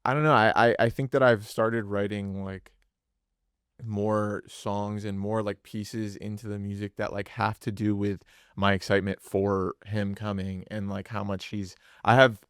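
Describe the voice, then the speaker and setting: A man's voice: fairly low pitch, flat delivery, unhurried, clear, resonant tone, dry recording, clean studio sound. A young man, a musician co-hosting a podcast, chatting casually and rambling with lots of filler words. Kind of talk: casual chat.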